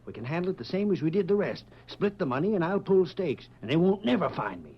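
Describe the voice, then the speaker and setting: Sly crooked cowboy henchman, animated. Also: high-pitched, quick pace, breathy edge, exaggerated voice. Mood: gloating. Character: sly, crooked Old West henchman, gloating. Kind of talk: 1940s radio western acting. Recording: muffled vintage radio recording, faint noise.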